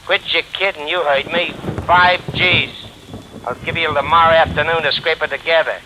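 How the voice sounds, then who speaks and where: An actor playing a brusque, slangy crook in a 1940s radio drama, curt and menacing. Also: male voice, on the high side, steady tone, moderate pace, sharp tone, poor audio. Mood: curt, threatening. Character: brusque, slangy underworld crook making ransom demands. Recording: hissy old 1940s radio recording.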